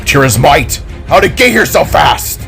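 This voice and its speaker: A man acts out a gruff, flustered father leading his group in an audiobook adventure. He speaks in urgent exclamations, with music underneath. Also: fairly high pitch, big pitch swings, unhurried, sharp tone, exaggerated voice. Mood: alarmed. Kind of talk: dramatic audiobook character acting.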